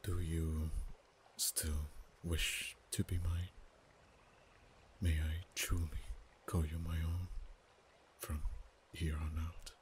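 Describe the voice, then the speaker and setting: A man's voice: rumbling low, little pitch movement, a little hiss or hum. Man voicing a haughty, tender dragon lover. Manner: soft-spoken, partly whispered, halting stammers. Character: haughty, proud, tender male dragon in human form. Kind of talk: ASMR romance roleplay.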